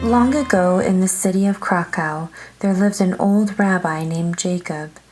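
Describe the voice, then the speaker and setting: A woman's voice: fairly low pitch, measured pace, music in the background. A woman reading a children's story aloud as narrator, expressively, drawing out some phrases.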